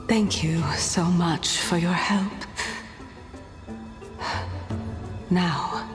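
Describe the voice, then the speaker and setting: A mysterious, gentle titan woman for a video game. She speaks slowly and formally in a lowish voice, wistful and knowing, with long reverb around her words. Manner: measured, formal, theatrical. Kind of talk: scripted video game voice acting. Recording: long reverb, music behind. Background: game music.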